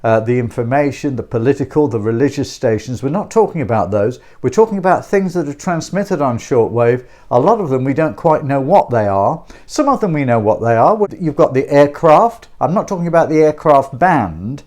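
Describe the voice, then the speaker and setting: A man's voice: mid-range voice, expressive, normal speed, few pauses, darkish tone. A man presenting an informal hobby explainer as a video host, chatty, speaking in short repeated phrases and posing questions to the viewer. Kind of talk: informal explainer to camera about a radio hobby.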